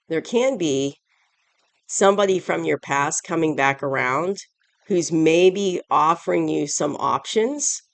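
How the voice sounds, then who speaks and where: Tarot host, stuffy allergy voice and sore throat, gravelly, dry close sound, casual and rambling. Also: mid-range voice, no room sound. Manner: rambling, repeats and corrects phrases. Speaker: tarot reader, channel host. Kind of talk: casual weekly tarot reading to camera.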